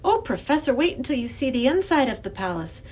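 A woman voicing an earnest, excitable young girl who pleads and insists, in an acted children's storybook reading. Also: high voice, brisk, breathy edge, pitched above the performer's own voice, heavily acted. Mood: coaxing.

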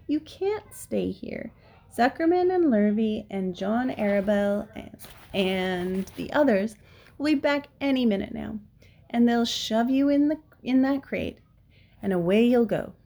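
A wise, weary storybook spider, as a woman reads her, female and motherly. She reasons firmly and chides in a fairly high, unhurried voice. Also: expressive, creaky, warm tone, full character voice. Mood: firm reasoning. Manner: firm, chiding, reasoning.

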